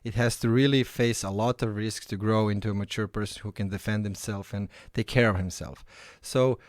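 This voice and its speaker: A man's voice: lowish voice, fairly even intonation, brisk, no room sound, clean studio sound. A man in his thirties with a Croatian accent, giving an informal talk introducing himself as a company founder. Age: 30s.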